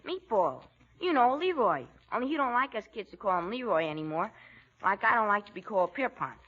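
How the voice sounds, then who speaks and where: An actor playing a blunt, chummy schoolboy pal, a slow student with slangy kid talk, in a 1940s radio comedy. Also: mid-range voice, very animated, a bit bright. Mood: curious. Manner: plainspoken, slangy kid delivery. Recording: muffled vintage 1940s radio recording.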